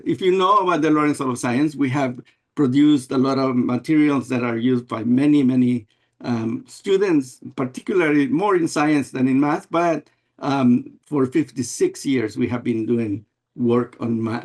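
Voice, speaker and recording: An older man from America, giving an unhurried webinar presentation over a video call and often restarting his phrases. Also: medium pitch. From Guatemala and El Salvador. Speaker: guest presenter, math educator.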